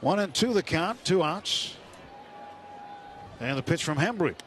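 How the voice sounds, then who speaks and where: A man's voice: on the high side, expressive, measured pace, a bit bright, slight background noise. A man calling a baseball game live on the radio as the play-by-play announcer. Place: stadium broadcast booth.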